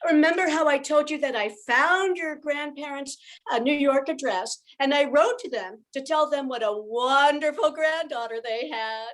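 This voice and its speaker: A woman's voice: high-pitched, normal speed, hardly any pauses, fairly bright tone. An older woman playing an anxious, tender young widowed mother in an old-time radio soap. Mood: warm, motherly.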